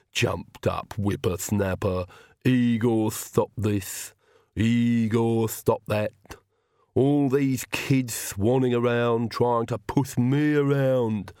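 A grumbling old manservant, as a narrator puts him on, drawn-out and muttering, with a throaty tone unlike the narrator's own. He complains in a slow, self-pitying drone. The sound is dry and close. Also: medium pitch, mellow, no echo. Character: grumbling hunchbacked old male servant.

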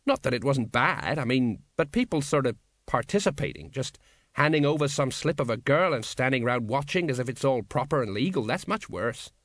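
A man's voice: mid-range voice, lively intonation, normal speed, no echo, close to an everyday voice. A man voicing a stout, weary middle-aged sergeant who mumbles and hesitates. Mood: grumbling.

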